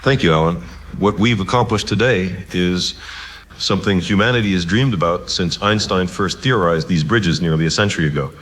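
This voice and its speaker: An earnest physicist in an audio drama. His voice is on the low side. He speaks precisely and seriously, with a cautious, measured manner. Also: fairly bright tone, poor audio. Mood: proud, measured. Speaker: scientist.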